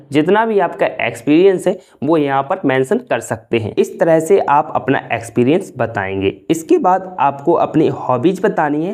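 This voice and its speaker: Slow, patient male teacher. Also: fairly high pitch, a little mellow. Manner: slow, drilling model sentences, repeating each phrase. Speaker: English teacher. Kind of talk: spoken English lesson.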